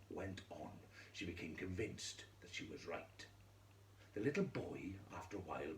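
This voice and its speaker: A man storyteller narrating a scripted fairy tale for children, theatrically, in measured phrases with dramatic pauses. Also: sits low, a little mellow. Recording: echoey room with faint noise.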